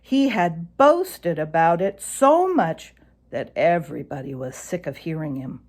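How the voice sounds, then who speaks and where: A woman narrating a children's animal story aloud in an expressive storytelling style. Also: deep voice, a little slow.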